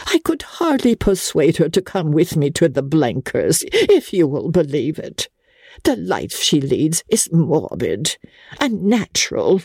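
An affected society aunt, acted by a woman reading a classic novel, effusive and grandiose. Her pitch swoops widely over an unhurried pace, with a soft, mellow tone. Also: wildly swooping pitch, creaky, husky, dry recording, clean studio sound, exaggerated voice. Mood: lamenting. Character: affected, theatrical society aunt, dramatic and gushing. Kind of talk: dramatic audiobook character acting.

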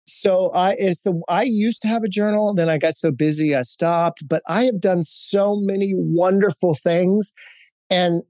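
A man's voice: high-pitched, a little slow, a little mellow, no room sound. A man in his fifties who grew up in Hawaii, giving candid, storytelling interview answers with frequent fillers and restarts. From Hawaii, United States. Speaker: guest, corporate executive.

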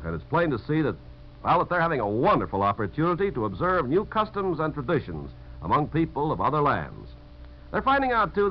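Animated American man, old radio talk. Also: medium pitch. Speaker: narrator of message about servicemen. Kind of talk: 1950s radio scripted talk. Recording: dull, hissy 1950s radio recording.

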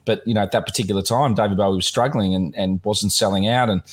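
A man with a somewhat low, slightly croaky voice hosts a relaxed music chat. He rambles along with plenty of fillers and restarts. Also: fast. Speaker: podcast host.

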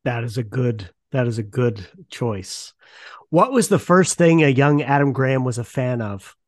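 A man's voice: mid-range voice, lively intonation, a little slow, a little mellow, no echo. Guy hosting a podcast, mid-range voice, chatty and into it, super dry sound. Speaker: podcast host, interviewer. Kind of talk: casual interview chat.

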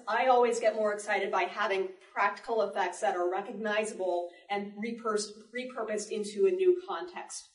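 A woman's voice: on the high side, far from the mic, slight background noise. A woman speaking informally on a panel as a live Foley sound artist, presenting to an audience. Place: public library panel room. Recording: roomy library panel, off-mic.

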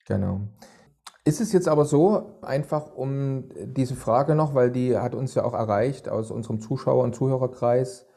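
A male podcast host interviewing a guest. His voice is dark, mellow and gravelly. He builds long questions that wander before landing, filled with hesitation sounds. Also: medium pitch, moderate pace.

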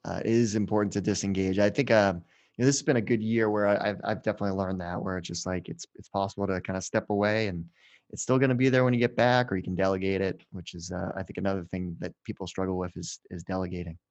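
A middle-aged man with a fairly low voice hosts an interview. His delivery is casual and conversational, with loose, informal phrasing. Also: steady tone, fast, a little mellow, lo-fi sound. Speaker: podcast host.

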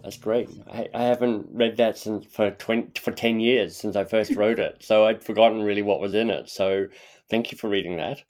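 Male podcast host, lowish voice, relaxed and chatty. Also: normal speed. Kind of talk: casual conversation.

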